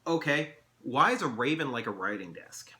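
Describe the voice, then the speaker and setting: A man's voice: medium pitch, animated, moderate pace, sharp tone. A man voicing an earnest, cautious boy in a storybook, with plain casual phrasing. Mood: thoughtful. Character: human boy, earnest, thoughtful, cautious younger brother.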